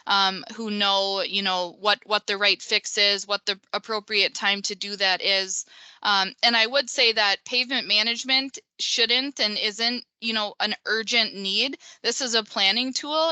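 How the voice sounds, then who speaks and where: A woman's voice: medium pitch, bright, forceful tone, smooth, no echo. A woman in her thirties with a Minnesota accent, presenting over a video call in a conversational way, with many ums and restarts. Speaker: pavement engineer presenter. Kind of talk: webinar presentation.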